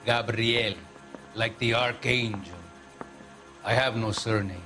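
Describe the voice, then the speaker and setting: A man's voice: mid-range voice, fairly even intonation, slow pace, natural voice. A male actor playing a haughty, indignant Argentine consul general with a Spanish-speaking Latin American accent and formal, imperious diction in a video game. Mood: disdainful. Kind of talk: dramatic video game acting. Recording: background music under dialogue.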